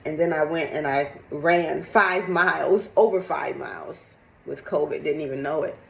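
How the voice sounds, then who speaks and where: A middle-aged woman with a slightly raspy, congested voice, chatting candidly and casually in a rambling personal vlog. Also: low-pitched, moderate pace, reverberant. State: sick with congested cough. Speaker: fitness vlogger. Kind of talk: casual chat to camera, personal update.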